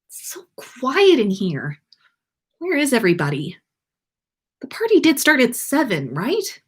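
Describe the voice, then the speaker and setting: A woman's voice: high voice, wildly swooping pitch, measured pace, breathy edge, pitched above the performer's own voice, exaggerated voice. A woman voicing a smitten, good-humoured young wizard man in a story, easygoing and slightly awkward. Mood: hushed, puzzled.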